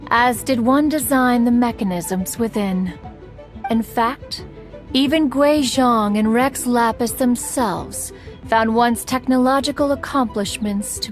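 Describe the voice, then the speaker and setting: A woman playing a proud, aloof, ancient immortal hermit in a video game, speaking in formal, archaic phrases. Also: fairly high pitch. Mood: regal, composed. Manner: haughty, formal, archaic, measured. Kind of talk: theatrical video game voice acting.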